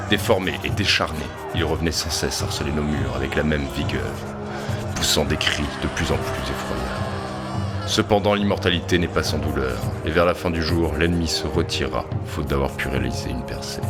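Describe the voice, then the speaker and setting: Male actor as fantasy army general, extremely low voice, grave siege account over loud music. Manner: grave, literary. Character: male war general recounting a grim siege. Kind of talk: solemn scripted audio drama narration.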